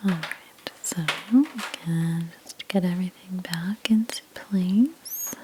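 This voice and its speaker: Very slow, soft whispery woman. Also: on the low side, background noise. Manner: gentle, unhurried explaining with pauses. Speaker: ASMR creator playing a house cleaner. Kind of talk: softly spoken ASMR roleplay.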